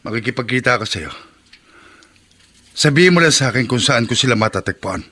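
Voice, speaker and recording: A man's voice: mid-range voice, animated, fairly quick, bright, forceful tone, slight background noise. An actor playing a hot-tempered, vengeful middle-aged father and mechanic in a radio drama. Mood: tense. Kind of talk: Filipino radio drama acting.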